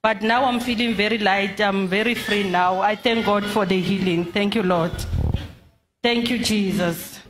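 A woman giving a composed, clear testimony in a church hall. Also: medium pitch, steady tone, a little slow. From South Africa. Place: large indoor church hall. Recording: echoey hall with faint crowd noise.

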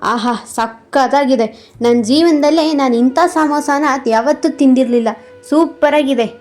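A woman's voice: sits high, measured pace, a little mellow, faint music behind. A woman voicing a delighted male street-food customer in a cartoon, savouring and effusive.

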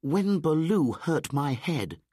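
A man's voice: on the high side, sing-song, slow pace, a little hiss or hum, voice pushed up. A man voicing a cheeky, cross young boy raised in the jungle, in a storybook reading. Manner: raised, bright storybook child voice.

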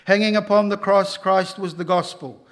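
An older man preaching a sermon at a church lectern microphone, emphatic and rising loud on key lines. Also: high voice, steady tone, moderate pace.

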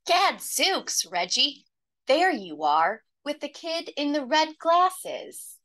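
A woman plays a lively young girl from a children's storybook. Her voice is high and swoops freely. She is playful and exclamatory, taking her lines slowly. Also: exaggerated voice. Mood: surprised. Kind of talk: children's storybook read-aloud.

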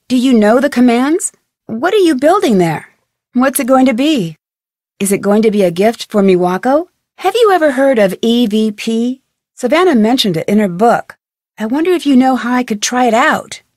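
A young female sleuth from a mystery video game. Her voice is fairly high and recorded dry and close. She speaks in a friendly, polite and inquisitive way, with clearly articulated scripted lines. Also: darkish tone, no echo.

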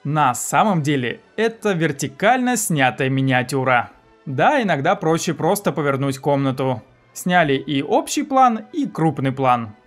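A man in his thirties narrates a scripted film review in a fairly high voice. He sounds like a video host at his desk, with music playing behind him. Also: big pitch swings, unhurried. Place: small indoor room, seated at desk.

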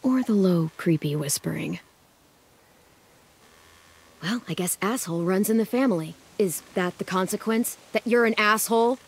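A woman's voice: mid-range voice, normal speed, long pauses, breathy edge. A woman voicing a tough, sardonic teenage American girl in a zombie survival video game, blunt and dry.

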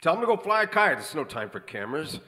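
An actor playing a gruff, impatient police captain with a brusque manner in a radio drama. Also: male voice, a little high, sing-song, fast, pushed and bright. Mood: stern. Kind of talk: 1950s radio drama acting. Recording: live theatre stage, long hall reverb.

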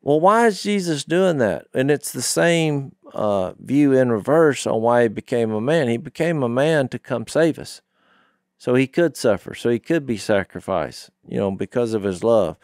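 A man's voice: mid-range voice, animated, normal speed, darkish tone, no room sound. A middle-aged man co-hosting a Bible discussion podcast, telling stories emphatically in a conversational way, close on a studio mic. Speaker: podcast co-host teaching scripture. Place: small wood-panelled podcast studio. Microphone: studio microphone on boom, close.

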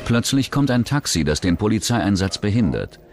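Tiefer, lebhaft betonender Erzähler. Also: male voice, lowish voice, very animated, moderate pace, over music. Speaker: documentary narrator. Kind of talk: scripted true-crime narration.

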